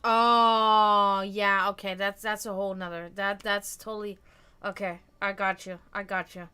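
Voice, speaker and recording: A woman in her thirties hosting a casual live stream, talking frankly and reflectively and often letting her sentences trail off quietly. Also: medium pitch, flat delivery, a little slow, clear, resonant tone. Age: 30s. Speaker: stream host.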